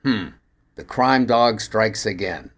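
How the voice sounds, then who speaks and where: A man's voice: fairly low pitch, takes it slowly, gravelly, a bit bright, heavily acted. A man voicing a wry small-town police detective in a story reading, dry and skeptical. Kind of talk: acted dialogue in a story reading.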